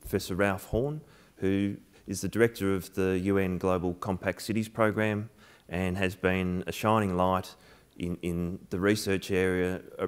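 A man in his forties chairs a conference session in a big lecture theatre. His voice sits very low and resonant, with little rise or fall. He introduces speakers with frequent fillers. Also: clean tone.

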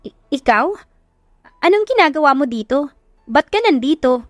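A flustered young woman, played by a female narrator, stammers in a slightly high voice. She is the heroine of an audio love story, with a close, dry sound. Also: big pitch swings, normal speed, dry recording, faint music behind. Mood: startled.

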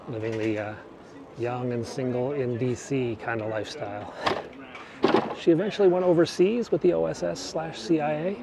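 A man casually narrating a bike ride through the city, rambling and correcting himself as he goes, filmed outdoors on an action camera. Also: medium pitch. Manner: chatty, rambling, self-correcting. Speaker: vlogger. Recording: outdoor street and traffic noise. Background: traffic and city ambience.

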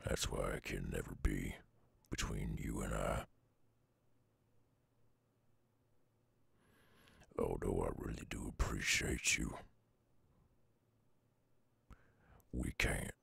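Gruff lone werewolf, Southern US accent, very husky and breathy, close dry sound, brooding, weary, ASMR roleplay. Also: male voice, extremely low pitch, fairly bright tone, no room sound, full character voice. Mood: resigned, wistful. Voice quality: very gravelly, husky. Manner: slow, drawling, murmured.

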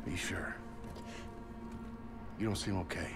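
A man's voice: low-pitched, a little slow, music in the background. A man acting a desperate, protective survivor in his thirties in a sci-fi horror video game. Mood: concerned. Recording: loud game soundtrack behind.

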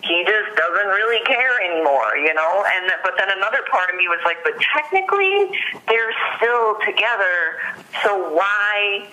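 Female witness, American, bright forceful voice, halting conversational account over a thin phone line. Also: medium pitch, animated, a little hiss or hum. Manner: hesitant, frequent use of "like". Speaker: witness in police interview. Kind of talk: recounting events in an interview. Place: phone call. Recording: thin telephone call recording.

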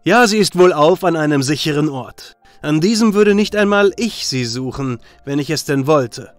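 A man acts a proud young-sounding war hero in a fantasy audio drama. His voice is fairly high, energetic but troubled, over soft music. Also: moderate pace, lightly acted. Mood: worried.

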